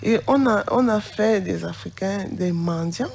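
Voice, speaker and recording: Gravelly woman preaching with passion. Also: mid-range voice, a little slow, a little mellow, a little hiss or hum. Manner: impassioned, indignant preaching tone. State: has the flu. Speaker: co-host, pastor's wife.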